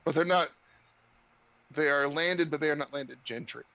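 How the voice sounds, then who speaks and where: Creaky, bright-voiced male game master. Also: fairly high pitch, moderate pace, no echo, highs cut off. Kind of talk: improvised tabletop game narration and chat.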